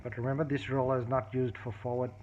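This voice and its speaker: A man casually demonstrating a tape recorder repair at his workbench, explaining step by step in a halting way, with many fillers and restarts. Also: medium pitch, flat delivery, a little mellow. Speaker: hobbyist repairer. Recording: dull, lo-fi audio with clear background noise and clicks.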